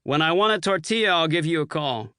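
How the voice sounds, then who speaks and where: A man doing a loud swaggering Marine colonel. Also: on the high side, animated, fairly quick, smooth, no room sound, studio quality, voice pushed up. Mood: dismissive. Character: brash hard-drinking male Marine colonel.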